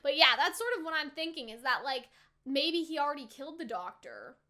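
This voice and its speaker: A woman's voice: high-pitched, big pitch swings, fairly quick, pushed and bright. A young adult woman giving casual reaction commentary in an informal, slangy way, often tossing in rhetorical questions. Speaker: reaction video host.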